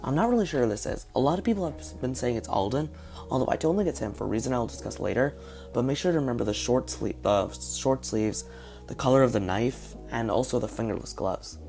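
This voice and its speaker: A man with a hoarse, partly lost voice, talking through his guesses in a casual video commentary. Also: medium pitch, expressive, a little fast, darkish tone, faint music behind. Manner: speculative, thinking aloud. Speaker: video reviewer.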